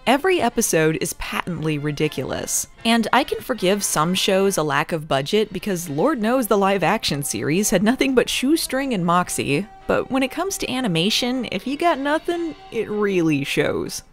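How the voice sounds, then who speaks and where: A woman's voice: mid-range voice, very animated, moderate pace, few pauses, soft background music. A woman hosting a comedy review, narrating casually in a sarcastic, irreverent way.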